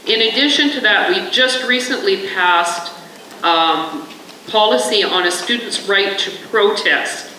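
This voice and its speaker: A woman's voice: mid-range voice, measured pace, bright, forceful tone, slight background noise. A woman, a school trustee, making an earnest, rambling point from the audience at a panel. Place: large conference hall. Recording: echoey hall, mic from a distance.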